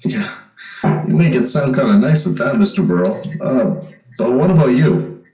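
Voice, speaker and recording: A man playing gravelly teenage diner kid, roomy echo. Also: medium pitch, animated, moderate pace, dark tone. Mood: guarded, agreeable. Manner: folksy, slangy, guarded. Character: streetwise seventeen-year-old diner counterman, wary but agreeable. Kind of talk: old-time radio drama acting and narration. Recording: roomy echo, music underneath.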